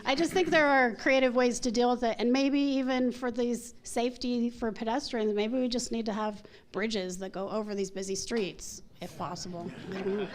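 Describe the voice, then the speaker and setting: A woman's voice: fairly high pitch, steady tone, normal speed, hardly any pauses. Chatty woman at a community meeting. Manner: chatty and earnest, laughs between points. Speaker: resident speaking at a community meeting. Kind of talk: public comment at a meeting.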